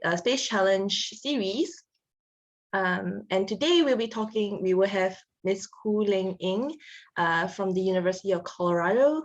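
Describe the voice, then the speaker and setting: A woman's voice: medium pitch, muffled recording. A woman hosting an online webinar, introducing the guest in a chatty way with many fillers. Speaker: webinar host. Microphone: video call.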